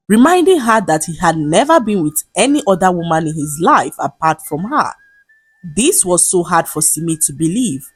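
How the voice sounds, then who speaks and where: A woman narrating a scripted folktale in a measured, expressive storytelling manner. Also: mid-range voice, slightly rough, dry recording. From Nigeria. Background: background music.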